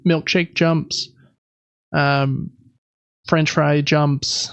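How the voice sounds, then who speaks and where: A man's voice: fairly high pitch, level tone, drawn-out words, a bit bright, clean tone, dry recording, dull audio. A man co-hosting a podcast, chatting casually about video games. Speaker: podcast co-host.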